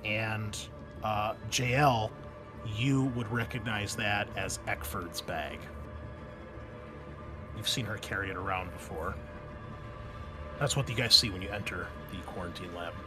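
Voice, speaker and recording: A man's voice: fairly low pitch, a little slow, pauses often. Casual male game master over background music. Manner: casual, informal. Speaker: game master of a tabletop roleplaying game. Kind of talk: improvised narration and rules explanation for players.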